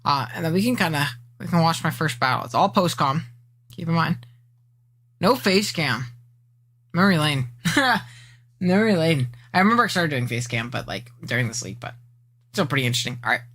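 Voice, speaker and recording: A young man with a high-pitched, not very deep voice, live-streaming and chatting casually as he reacts to an old video, rambling, teasing his chat and poking fun at himself. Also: expressive, a little fast, long pauses, bright, forceful tone.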